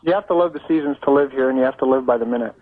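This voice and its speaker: A man's voice: mid-range voice, rapid-fire, no echo. An American man calling a radio show, chatting casually in a polite, agreeable, slightly hesitant way. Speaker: caller. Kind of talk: casual phone-in chat. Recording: tinny phone line on radio.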